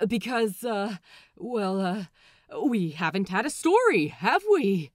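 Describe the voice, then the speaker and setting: A woman voicing a fussy, quick-witted young male pirate in an emphatic, sassy, flustered way for an audio story. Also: mid-range voice, very animated, no echo, clean studio sound. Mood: flustered, careful.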